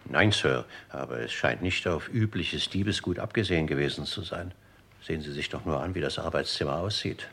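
An actor playing an older, formal manservant in a German radio crime drama, deferential and deliberate, with a husky, gravelly voice. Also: male voice, a bass voice, fairly bright tone, exaggerated voice. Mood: earnest, uneasy. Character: dutiful, formal old-school manservant of a murdered gentleman.

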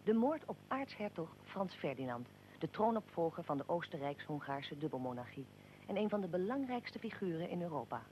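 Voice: medium pitch, very animated, brisk, darkish tone, slight background noise, close to an everyday voice, poor audio. A performer playing a probing, well-prepared interviewer and narrator in a historical radio drama, formal and articulate. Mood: formal, narrating.

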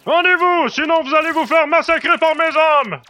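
A man voicing a giant alien warrior colonel who shouts in a commanding way in an audio story. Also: very high voice, normal speed, pitched above the performer's own voice. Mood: shouting orders.

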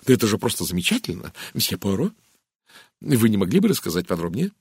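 A man voicing a gushing, excitable, talkative middle-aged lady in an audiobook, with an eager, exclaiming manner. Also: fairly low pitch, fast talker, husky, no room sound, studio quality, exaggerated voice. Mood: surprised, eager. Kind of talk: audiobook character voice.

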